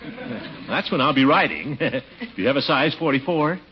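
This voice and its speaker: An actor playing a stout, bashful middle-aged uncle in a 1940s radio comedy, hemming, hawing, chuckling and easily flustered. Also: male voice, medium pitch, expressive, normal speed, lightly acted. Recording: muffled, noisy 1940s radio recording.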